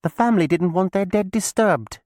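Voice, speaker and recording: A man voicing a sly, bossy young witch girl who speaks in a sharp, commanding way. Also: high-pitched, animated, brisk, no echo, clean studio sound, pitched above the performer's own voice. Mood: ominous.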